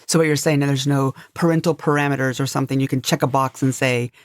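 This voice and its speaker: A woman's voice: very deep voice, steady tone, quick pace, no echo. A woman co-hosting a podcast in casual, conversational chat. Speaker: podcast co-host.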